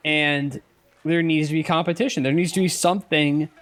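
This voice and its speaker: A man co-hosting a casual podcast, speaking haltingly and repeating words as he goes. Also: a little high, fairly even intonation, a bit bright. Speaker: podcast co-host.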